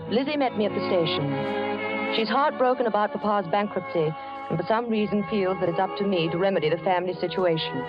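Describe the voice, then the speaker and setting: A woman's voice: medium pitch, fairly quick, natural voice. A woman playing a genteel admiring young lady. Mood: letter. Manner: gushing, letter-reading narration. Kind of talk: 1940s radio acting. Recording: muffled 1940s radio broadcast with music.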